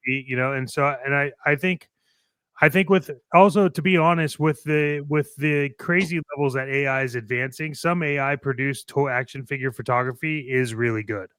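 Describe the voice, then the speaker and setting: Smooth, clear-voiced man chatting casually. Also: mid-range voice, fairly bright tone, no room sound. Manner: halting, repeats words, conversational. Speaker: show co-host. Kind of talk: casual chat about toy collecting.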